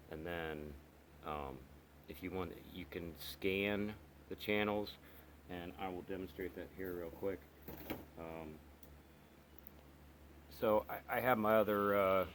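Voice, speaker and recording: A man casually walking through the features of a radio he is reviewing, explaining step by step with many pauses and restarts. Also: sits low, a little hiss or hum. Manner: halting, lots of ums and restarts, explains step by step. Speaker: radio hobbyist reviewing gear. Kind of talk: casual product walkthrough.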